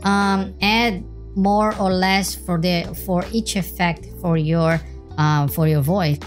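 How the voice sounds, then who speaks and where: Man processed as a baby-like anime character, shifted high, casual tutorial talk, faint music. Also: steady tone, slow pace. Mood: childlike, explaining. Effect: pitch-raising voice changer.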